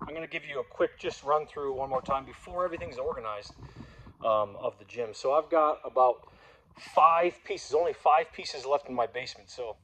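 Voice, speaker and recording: A man's voice: mid-range voice, moderate pace, low-quality recording. Man in his thirties, casual vlogger, rambling walkthrough, filmed on a phone at arm's length in a big indoor warehouse. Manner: rambling and relaxed, with fillers and restarts. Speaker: gym owner vlogger. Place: large warehouse-style gym, indoors. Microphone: phone held at arm's length.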